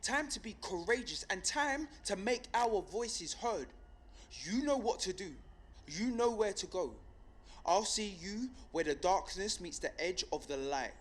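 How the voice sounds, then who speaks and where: An earnest male rebel broadcaster delivers a dramatic radio address. He is solemn and deliberate, building his call to revolt through measured repetition. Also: sits high, animated. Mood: rousing resolve. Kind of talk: dramatic scripted radio monologue.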